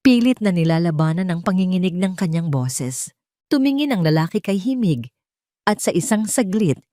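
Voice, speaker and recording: A woman's voice: low-pitched, dry recording, studio quality. A woman reading a scripted story as a dramatic storyteller. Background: soft music.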